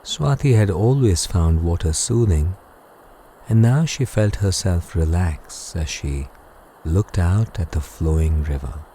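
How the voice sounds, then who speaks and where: A man gently and calmly narrating a scripted bedtime story for guided sleep meditation, soothing and unhurried. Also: sits low, a little hiss or hum. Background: music.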